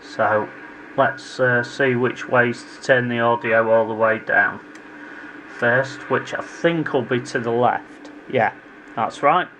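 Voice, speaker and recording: A man's voice: medium pitch, slow pace, sharp tone, slight background noise, poor audio. A man giving a casual hands-on repair tutorial, informally addressing viewers and thinking aloud as he works. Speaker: repair hobbyist presenting his own video.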